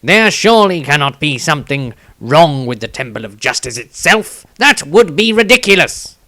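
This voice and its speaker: An earnest, anxious halfling scribe, acted out by a male reader in a fantasy tale. His voice sits fairly high, and he speaks with questioning emphasis. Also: sing-song, normal speed, bright, forceful tone, no room sound. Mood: distressed.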